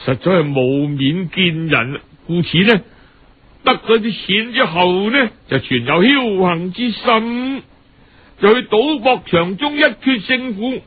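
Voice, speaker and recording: A man's voice: high voice, lively intonation, husky, narrow, tinny audio. A man with a Cantonese accent telling a story in a dramatic, colloquial radio audiobook style. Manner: dramatic, colloquial, with drawn-out phrase-end particles.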